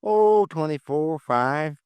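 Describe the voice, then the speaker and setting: An actor playing a streetwise gambling friend in a 1950s American radio drama, slangy and teasing. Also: male voice, a little high, lively intonation, slow pace, darkish tone, clean tone, no echo. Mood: easygoing.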